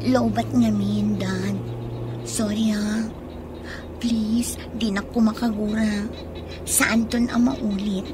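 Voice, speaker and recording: An actress playing a coaxing young student who juggles two lovers in a radio drama, with an Ilocano accent. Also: female voice, medium pitch, flat delivery, takes it slowly, long pauses, background noise, close to an everyday voice. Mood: coaxing, apologetic. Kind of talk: Filipino radio drama acting.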